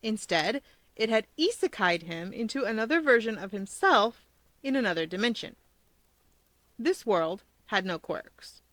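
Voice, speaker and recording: A woman's voice: a little high, expressive, unhurried, fairly bright tone, no room sound. A woman hosting and narrating fan fiction as scripted storytelling, in a close, dry recording. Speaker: fan fiction reader and host.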